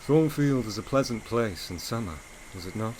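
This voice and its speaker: An actor playing a brooding, teasing English gentleman in a gothic romance audio drama, speaking in formal, theatrical period phrasing. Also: medium pitch, expressive, normal speed, slight background noise, close to an everyday voice. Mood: coolly probing. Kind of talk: audio drama acting, period literary dialogue.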